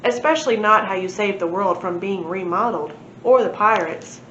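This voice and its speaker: A woman's voice: mid-range voice, normal speed, a bit bright, close to an everyday voice. Woman reading as doubting young child, echoey room. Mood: sceptical. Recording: echoey room, muffled, noisy.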